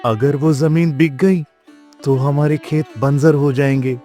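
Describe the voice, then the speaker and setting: A man playing an authoritative village figure in a moral-story cartoon, speaking in a deliberate, declamatory way. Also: a little high, fairly even intonation, mellow, soft background music, natural voice. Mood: worried. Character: domineering landlord and earnest village headman in a cartoon.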